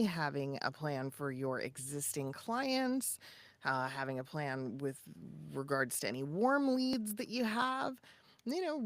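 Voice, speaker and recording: Woman, lowish voice, dry studio-like sound, conversational co-host, casual advice-giving. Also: no echo.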